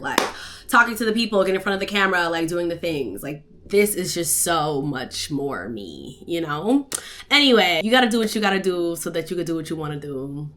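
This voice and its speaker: A young woman vlogging from her living room, her voice sitting a little low and sounding stuffed up from a cold. She talks to a tripod camera in a loose, slangy, playful way, tossing asides to her viewers. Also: a bit bright. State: stuffed-up nose. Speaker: vlogger and podcast host. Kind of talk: casual day-in-the-life vlog chat. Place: apartment living room, indoors.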